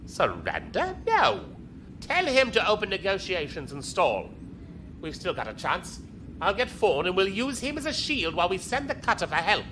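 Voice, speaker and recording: An actor playing an imperious, exasperated imperial fleet commodore in a sci-fi audio drama, giving sharp commands. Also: male voice, high voice, wildly swooping pitch, normal speed. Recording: long hall reverb, noisy ambience.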